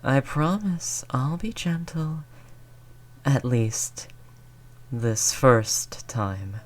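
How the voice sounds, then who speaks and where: A performer plays an ancient vampire lord in an intimate roleplay audio drama. The delivery is extremely slow and deliberate, often sinking toward a breathy whisper. The creature sounds possessive and cruelly seductive, full of condescending endearments. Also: medium pitch, expressive. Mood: predatory, coaxing.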